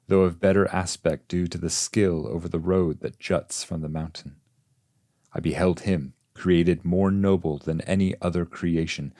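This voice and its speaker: A man with a low-pitched voice narrates a literary audiobook of classic verse. His delivery is measured and solemn, and the recording is dry, with very little room sound. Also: a little mellow, no echo. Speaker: translator and reader of a classic poem.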